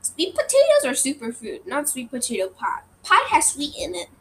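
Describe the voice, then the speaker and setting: A woman's voice: wildly swooping pitch, moderate pace, gravelly, slight background noise, exaggerated voice, rough recording. Woman doing a squealing, upset little boy. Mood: chatty. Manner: high squealing, cries and shouts. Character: excitable little boy, goldfish owner.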